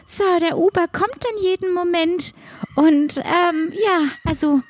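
A friendly hostess in an improvised audio play, acted by a woman, speaks in a high-pitched voice. She is flustered and halting, repeating words and exclaiming as she goes. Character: friendly, flustered hostess who lends out her cookbook.

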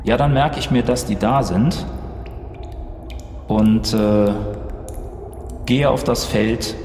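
A man's voice: medium pitch, takes it slowly, a little mellow. Man playing a tabletop game, echoey room. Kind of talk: casual improvised play, describing own moves. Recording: echoey reverberant room with audible noise.

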